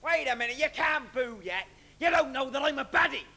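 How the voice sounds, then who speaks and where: Pirate villain, pantomime, theatrical, swooping delivery, bright forceful tone, blustering. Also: male voice, very high voice, expressive, a little fast, gravelly, exaggerated voice. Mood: bombastic. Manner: theatrical, bellowing, plays to the audience. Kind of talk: amateur stage pantomime acting. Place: stage of a live student pantomime. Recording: noisy live stage recording.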